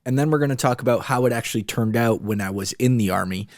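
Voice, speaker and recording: A man's voice: mid-range voice, fast, dry recording, clean studio sound. A man in his late twenties talking casually to camera about his experiences, rambling a bit with fillers and restarts. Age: 20s. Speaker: vlogger, army reservist.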